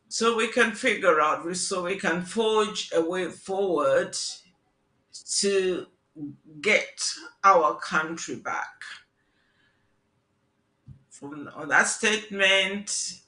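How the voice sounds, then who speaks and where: A man hosts a call-in political show in a bright, forward voice that sits high for a man. He talks slowly and earnestly, circling back to restart his phrases. His voice rings with the echo of a roomy space. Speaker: talk show host.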